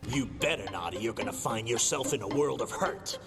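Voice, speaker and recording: A man's voice: medium pitch, a little fast, close to an everyday voice. Echoey menacing anime gang boss played by a man. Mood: threatening. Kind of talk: anime dub acting. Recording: echoey clip under loud music.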